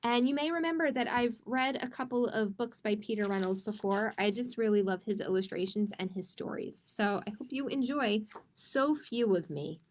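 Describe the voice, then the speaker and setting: A woman hosting a children's storytime, reading picture books expressively and speaking deliberately, straight to her young listeners. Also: a little high, slight background noise. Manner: slow, deliberate, addressing young listeners directly. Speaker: children's librarian storytime host. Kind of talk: expressive picture book read-aloud for children.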